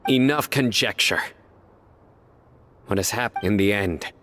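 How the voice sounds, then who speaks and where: A man's voice: fairly low pitch, measured pace, a bit bright, slight background noise. An actor playing a stern, principled young male enforcer in a video game, terse and serious. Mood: stern, wary. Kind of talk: dramatic video game voice acting.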